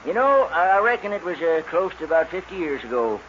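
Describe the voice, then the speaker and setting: Old-time radio western role: aging campfire yarn-spinner, folksy and halting, fairly high male voice, muffled vintage recording. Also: sing-song, a bit bright. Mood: folksy, easygoing. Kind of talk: 1940s radio western acting. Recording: dull, lightly noisy 1940s radio recording.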